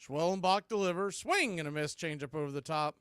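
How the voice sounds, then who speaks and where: Male baseball announcer, slightly high pitch, smooth clear voice, clipped play-by-play phrasing, dry close sound. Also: brisk, a bit bright, no echo. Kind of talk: live play-by-play sports commentary. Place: indoors, calling the game from a video feed.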